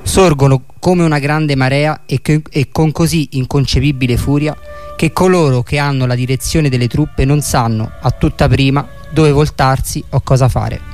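A man's voice: medium pitch, steady tone, moderate pace, a little mellow. A man reading scripted historical narration for a radio documentary. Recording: music playing behind the voice. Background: music.

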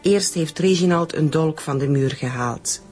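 A woman's voice: sits low, measured pace, a little mellow, faint music behind. An actress playing a chatty, easily indignant woman giving a colloquial witness statement in a radio crime drama. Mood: explaining, defensive. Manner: talkative, colloquial storytelling.

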